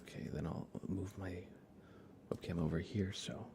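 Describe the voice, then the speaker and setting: A man chatting casually on an ASMR game livestream in a soft, breathy voice that often slips toward a whisper, hesitant and rambling. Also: low voice, little pitch movement, normal speed, noisy background. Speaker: livestream host. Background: music.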